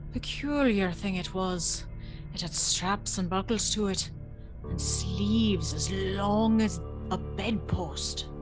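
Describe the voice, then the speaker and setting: A woman's voice: mid-range voice, lightly acted. An actress playing a timid, curious, polite young servant girl in an audio drama, telling her story in a hesitant, wondering way. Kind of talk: audio drama acting with first-person storytelling.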